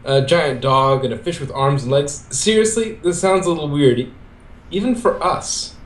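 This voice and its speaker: A man's voice: on the high side, moderate pace. A man reading fan fiction aloud, switching between scripted narration and character dialogue. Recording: echoey room, amateur recording.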